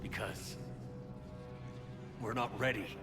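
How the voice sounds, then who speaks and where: A man's voice: medium pitch, fairly even intonation, slow pace, music in the background, lightly acted. A man playing a resolute, principled soldier commander, firm and earnest, in a dramatic video game scene. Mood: firm, challenging.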